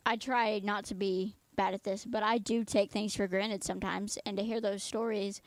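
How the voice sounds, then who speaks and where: A woman's voice: mid-range voice, level tone, normal speed, no room sound. A woman in her twenties interviewing a guest in a friendly, chatty way, rambling a little. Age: 20s. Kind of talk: casual interview.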